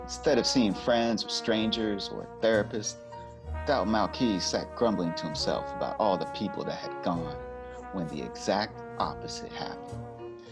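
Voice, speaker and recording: A man playing a sardonic hard-boiled narrator in a noir parody radio play, deadpan in delivery. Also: on the low side, moderate pace. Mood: deadpan storytelling. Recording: loud brass music under the voice.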